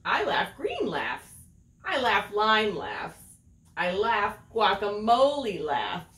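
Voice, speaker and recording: Woman, middle-aged, children's read-aloud. Unhurried, animated melody, expressive storytelling. Echoey home room. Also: medium pitch. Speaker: picture book reader. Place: small room at home, indoors. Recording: roomy home recording.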